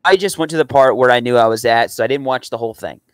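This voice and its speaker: A man's voice: medium pitch, fast, dry recording, clean studio sound. A young adult man giving casual reaction commentary as a video host in a room at home.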